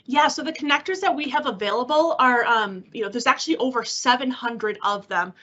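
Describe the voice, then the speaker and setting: Upbeat tech specialist woman chatting through a webinar demo, really bright voice, slightly muffled call audio. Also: a little high, a little fast. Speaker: technical specialist presenter. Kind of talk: conversational webinar product explanation. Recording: slightly dull online-meeting audio.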